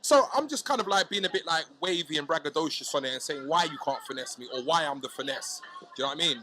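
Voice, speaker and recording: A lively man talks casually about his music, slangy and animated. His voice sits a little high and sounds bright and sharp. A faint hiss of background noise runs under him. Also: fast. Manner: animated, slangy, repeats "you know what I'm saying". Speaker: hip hop artist guest. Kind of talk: casual podcast chat.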